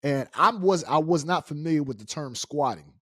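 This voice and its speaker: A man's voice: on the high side, expressive, normal speed, husky, no echo, clean studio sound. A middle-aged American man telling a personal story casually and colloquially, acting out the dialogue as he goes. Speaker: storyteller, show host.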